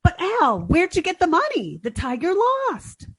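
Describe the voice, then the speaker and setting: A woman's voice: extremely high pitch, wildly swooping pitch, measured pace, a bit bright, exaggerated voice. A woman playing a naive, scatterbrained young woman with guileless earnestness in a 1940s-style radio comedy. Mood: worried. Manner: guileless, dim-witted earnestness.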